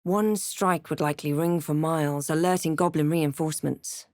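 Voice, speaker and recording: A woman voicing a snarky, brooding adventurer hero in a fantasy video game, deliberate and theatrical with dry wit. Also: sits low, steady tone, darkish tone, dry recording, lightly acted. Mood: brooding, solemn. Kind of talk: fantasy video game voice lines, narration and barks.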